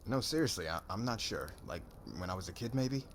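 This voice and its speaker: Man performing brash young warrior, low swinging voice, slangy, cocky, guarded, ASMR roleplay, noticeable background ambience. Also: animated, fairly quick, a bit bright, slight background noise. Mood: guarded, wry.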